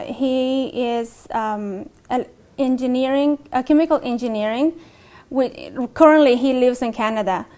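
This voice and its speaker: Mexican woman recounting her story, halting. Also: sits high, darkish tone. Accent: Mexican Spanish. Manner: hesitant, many fillers and restarts. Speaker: interviewee. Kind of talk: documentary interview, personal story.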